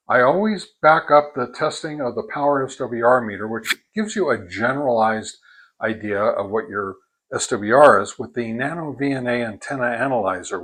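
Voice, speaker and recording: An older American man giving a casual, unhurried product review, explaining things step by step. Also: medium pitch, a bit bright. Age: older, 60s or above.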